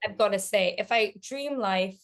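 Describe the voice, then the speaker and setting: Chatty woman with a mixed British-American accent. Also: mid-range voice. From London and Italy. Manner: chatty, laughs often, quick self-corrections. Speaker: actor guest. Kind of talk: casual interview chat.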